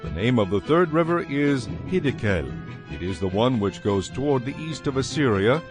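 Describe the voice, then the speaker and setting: A man narrating dramatized scripture in a solemn, measured manner. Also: medium pitch, big pitch swings. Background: music.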